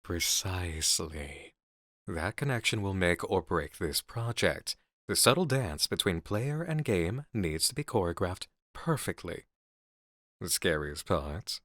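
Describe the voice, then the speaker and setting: A man playing a calm, calculating game developer with measured, deliberate, articulate delivery in a scripted ASMR horror roleplay. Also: low voice, no echo, clean studio sound, exaggerated voice. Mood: sinister, intent. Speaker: voice actor in an audio roleplay.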